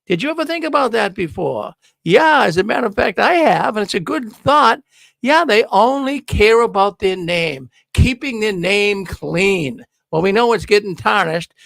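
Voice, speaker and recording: Older Boston man hosting, gravelly and high. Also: lively intonation, normal speed, a bit bright, no echo. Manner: chatty, folksy welcome. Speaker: host. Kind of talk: casual show hosting. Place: studio with neon signs. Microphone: broadcast mic on boom arm.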